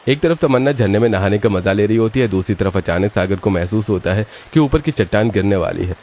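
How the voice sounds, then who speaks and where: A man's voice: fairly low pitch, expressive, brisk, darkish tone. A man narrating a scripted story as its storyteller.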